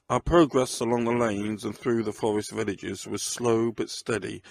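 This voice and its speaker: A man's voice: on the low side, gravelly, no room sound. A man reading scripted first-person narration of a crime story.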